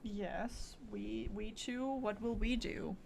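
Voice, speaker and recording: A woman streaming a game with casual commentary. Her voice is fairly high with a creaky fry, and she thinks aloud unhurriedly in playful fragments. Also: fairly even intonation, slow, very noisy. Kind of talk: casual live gameplay commentary.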